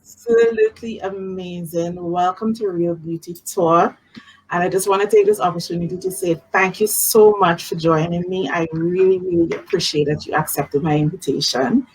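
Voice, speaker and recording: Husky woman hosting. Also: lowish voice, sing-song, normal speed, darkish tone, low-quality recording. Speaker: host. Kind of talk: live-streamed casual interview. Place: video call live stream.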